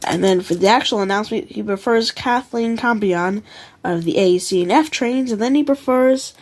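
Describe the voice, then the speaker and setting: A woman's voice: mid-range voice, normal speed, a little mellow. A woman giving casual, rambling vlog commentary in an informal, unsure and self-deprecating way. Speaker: vlogger ranking subway announcements.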